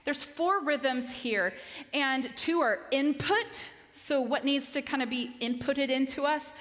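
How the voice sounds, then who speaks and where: A woman in her forties giving an animated, emphatic chapel talk on a stage, gesturing as she speaks into a headset mic. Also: high-pitched, slow. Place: large stage in a dark auditorium. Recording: long reverb of a large hall.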